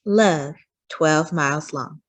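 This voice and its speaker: A woman reading a children's storybook aloud as a storyteller, with deliberate, expressive delivery. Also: deep voice. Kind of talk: scripted storybook narration.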